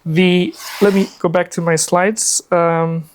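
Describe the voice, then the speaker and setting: A man's voice: sits high, flat delivery, slow pace, a little hiss or hum. A man in his forties from Afghanistan leads a workshop in a meeting room, speaking in a chatty, upbeat way. He fills gaps with easy fillers and sits at a laptop, picked up by a camera across the room. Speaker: workshop presenter. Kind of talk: casual live presentation.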